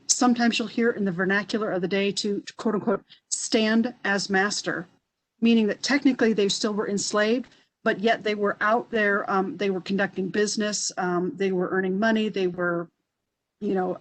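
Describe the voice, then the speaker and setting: A woman historian speaking on an online panel, thoughtful and halting with many restarts. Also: medium pitch, poor audio. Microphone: video call.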